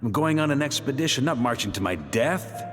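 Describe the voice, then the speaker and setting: An actor playing a seasoned knight commander in a game, calm, steady and reassuring. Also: male voice, mid-range voice, fairly quick, in a large hall, soft background music, natural voice. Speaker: grand master of a knightly order.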